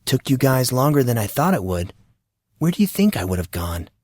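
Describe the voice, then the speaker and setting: An anguished teenage boy played by a man, pitched above the narrator's low voice. He is haunted and full of self-doubt, speaking haltingly and with feeling in a dry audio drama recording. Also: very animated, a little mellow, dry recording. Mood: wry, nonchalant.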